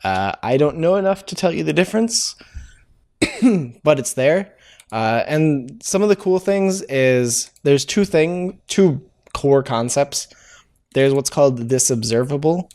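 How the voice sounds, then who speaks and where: Man, casual coding tutorial, slow and unhurried, halting with fillers, keyboard typing in background. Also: medium pitch, darkish tone. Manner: hesitant, many "uh" fillers, thinks aloud. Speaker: programming tutorial host. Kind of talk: casual live-coding walkthrough. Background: keyboard typing and clicks.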